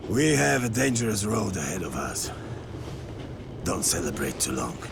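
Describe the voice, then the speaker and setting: Low, gravelly resistance commander. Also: male voice, big pitch swings, moderate pace, noisy background, exaggerated voice. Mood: bitter, fervent. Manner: terse, commanding, fervent. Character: grim, hard-line middle-aged male resistance commander. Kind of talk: dramatic video game cutscene acting. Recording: music behind the voice.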